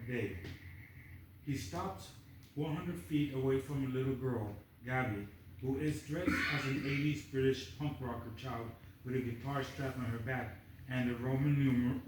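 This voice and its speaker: A man's voice: mid-range voice, a little mellow, recorded from a distance. Man narrating in a very echoey room. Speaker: narrator reading stage directions. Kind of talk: scripted narration at a table read. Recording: echoey room, off-mic, faint noise.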